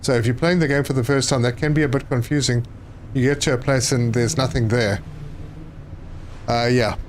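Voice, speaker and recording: Male streamer, mid pitch, casual and hesitant, faint game noise behind. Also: fairly even intonation, moderate pace. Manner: chatty, reads chat aloud, hesitant with fillers. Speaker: gaming live streamer. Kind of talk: casual live stream commentary.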